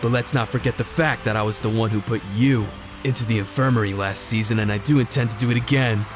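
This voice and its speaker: A man's voice: on the low side, steady tone, fast, close to an everyday voice. A man playing a smug, taunting rival clan leader in a visual novel. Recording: voice over background music.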